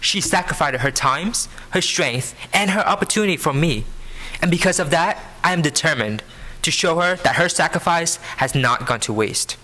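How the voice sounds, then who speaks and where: A man in his early twenties with a Vietnamese accent, giving an earnest prepared speech to an audience, phrasing deliberately. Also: on the high side, expressive, pushed and bright. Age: early 20s. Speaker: scholarship program student speaker. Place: live benefit event before an audience.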